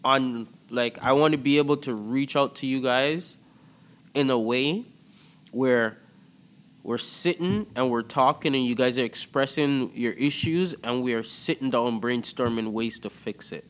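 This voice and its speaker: A man with a Toronto accent hosting a podcast as a coach, talking loosely with lots of fillers and pauses. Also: mid-range voice. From Antigua and Barbuda. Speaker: podcast host and life coach. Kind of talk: casual podcast talk.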